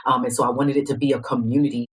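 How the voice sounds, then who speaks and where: A woman's voice: rumbling low, fairly even intonation, very fast, breathy edge. A woman speaking earnestly in a podcast interview, searching for words with frequent restarts as she explains how she organized a book project.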